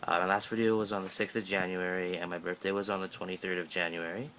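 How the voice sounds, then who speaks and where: Teen guy rambling into his phone, low voice but bright and forceful, lots of ums, faint hiss behind. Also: little pitch movement, quick pace. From Canada. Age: teens. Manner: rambling, chatty, jokey, many fillers and restarts. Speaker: vlogger. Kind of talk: casual update vlog. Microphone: phone held up at arm's length.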